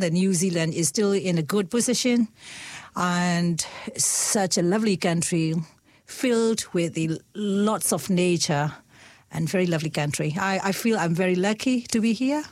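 Burmese-accented woman, halting and gentle. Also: fairly low pitch, dry recording. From Myanmar. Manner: halting, repeats words, gentle laughs. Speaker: guest. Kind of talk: casual conversation.

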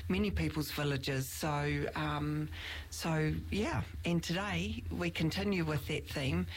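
A woman's voice: low-pitched, low-quality recording. A woman with a New Zealand accent hosting a community radio podcast, talking casually and enthusiastically with many fillers. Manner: warm, enthusiastic, with frequent fillers. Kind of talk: casual chat.

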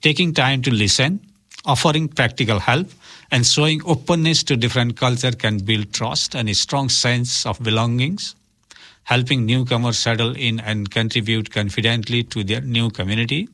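A man's voice: mid-range voice, moderate pace, sharp tone. Earnest, formal man, native Nepali speaker. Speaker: interview guest, community worker and officiant for migrants and refugees.

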